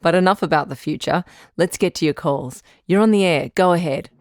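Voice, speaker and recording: An actress playing a calm, reassuring doctor who hosts a radio advice show, measured and frank in an audio drama. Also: female voice, fairly low pitch, no room sound, clean studio sound, natural voice. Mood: welcoming, upbeat. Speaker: radio call-in host.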